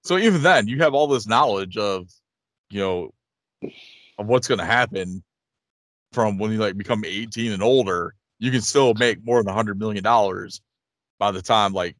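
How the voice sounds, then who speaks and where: Podcast co-host, male, late thirties. Low pitch, dry sound, rambling casual chat. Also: moderate pace, fairly bright tone, dry recording. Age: late 30s. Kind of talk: casual chat, reading listener questions.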